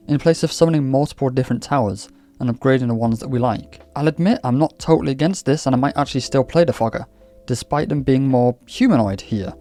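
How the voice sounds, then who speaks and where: A man's voice: medium pitch, mellow. A man from Wales hosting a casual gaming vlog, talking in a chatty, candid, lightly scripted way. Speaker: gaming YouTuber. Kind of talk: casual game commentary vlog. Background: faint background music.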